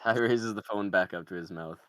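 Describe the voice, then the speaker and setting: A man's voice: lowish voice, brisk, a bit bright, no room sound. A man narrating screenplay stage directions as a scripted read.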